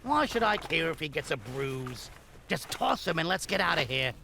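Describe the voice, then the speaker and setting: High-pitched, creaky scheming looter boss. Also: male voice, big pitch swings, normal speed, background noise. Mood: exasperated. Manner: scornful, domineering. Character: callous scheming looter boss, impatient and bullying. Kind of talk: horror audio drama acting.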